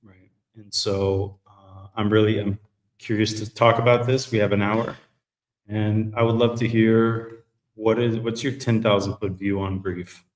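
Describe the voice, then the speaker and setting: A man hosting a live men's group talk show, chatting in a relaxed, rambling way. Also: lowish voice, unhurried, reverberant. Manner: relaxed, rambling, self-correcting. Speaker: host. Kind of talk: casual live chat.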